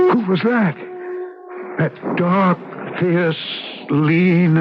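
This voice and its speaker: An actor playing a frightened, desperate husband who narrates his own horror story in a dramatic radio-drama style. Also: fairly high pitch. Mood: horrified. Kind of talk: dramatic first-person radio drama acting. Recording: dull, noisy old radio recording.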